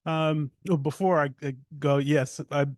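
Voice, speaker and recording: A man's voice: fairly high pitch, steady tone, a little slow, a little mellow, no echo, clean studio sound. A man answering on a poetry reading panel, thoughtful and hesitant, full of ums and restarts. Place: live reading event before an audience.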